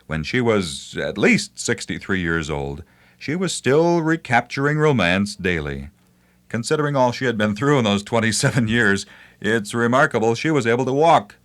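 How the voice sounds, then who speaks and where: A man's voice: mid-range voice, a bit bright. A man presenting a scripted promotional narration about old radio, with a wry, nostalgic manner.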